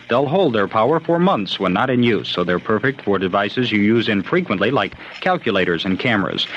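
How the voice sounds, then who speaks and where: A man's voice: lowish voice, a bit bright. A man reading a scripted radio commercial as an announcer. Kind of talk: scripted radio advertisement read. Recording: dull vintage radio, faint noise.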